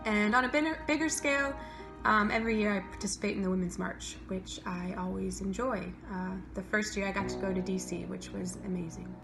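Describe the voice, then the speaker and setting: A woman's voice: medium pitch, fairly quick, creaky. A young woman from the American Midwest, a teacher, giving an earnest and articulate personal account. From United States, Midwest. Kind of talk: personal testimony. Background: music.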